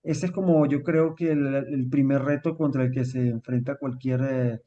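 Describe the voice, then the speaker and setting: A man's voice: medium pitch, fairly even intonation, moderate pace, muffled recording. A young Venezuelan man with a Venezuelan accent touched by Mexican speech, a writer talking as a guest, thoughtful and full of fillers. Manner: thoughtful, many fillers like "este" and "pues". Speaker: author guest. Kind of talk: interview.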